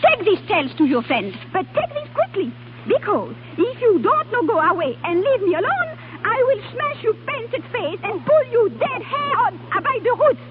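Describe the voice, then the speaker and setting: An actress playing a passionate, volatile young foreign woman in a vintage radio drama, with halting, dramatic delivery and uneven foreign-sounding English. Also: extremely high pitch, big pitch swings. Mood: furious outburst. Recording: old radio broadcast, tinny band-limited, hiss.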